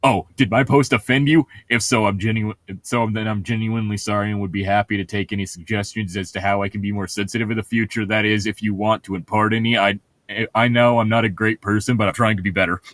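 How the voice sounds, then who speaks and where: A man with a fairly low voice, recorded close and dry, reads aloud in a casual way. He is sarcastic and swears freely when he breaks off to comment. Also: fairly even intonation, fast, a bit bright, no echo. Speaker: co-host of a comedic read-along.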